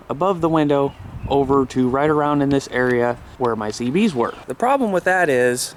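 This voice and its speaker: Man, mid-pitched voice, casual explainer, plain-spoken, talks straight to viewers, faint noisy recording. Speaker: hobbyist vlogger showing his CB radio setup.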